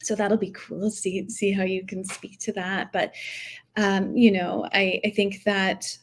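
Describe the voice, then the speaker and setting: A woman with a soft voice chatting casually about acting as a coach, hesitant and full of fillers. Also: medium pitch, level tone, unhurried. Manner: hesitant, many fillers and restarts. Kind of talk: casual conversational chat.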